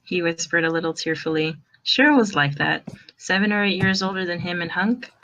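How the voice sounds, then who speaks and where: A woman narrating a fan fiction story in an expressive reading style. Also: lowish voice, brisk, poor audio.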